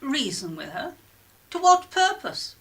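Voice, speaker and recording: An actress playing a composed, supportive middle-aged English mother in a radio drama, measured and gently questioning. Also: sits high, sing-song, exaggerated voice. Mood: concerned, questioning.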